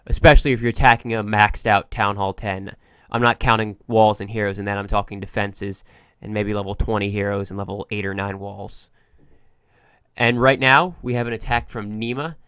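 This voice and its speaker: A man's voice: fairly low pitch, steady tone, moderate pace, a bit bright, clear, resonant tone, no room sound, studio quality. A young man commentating game replays, explaining each attack step by step, with a cold wearing on his voice. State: has a cold, voice going. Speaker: gaming commentator.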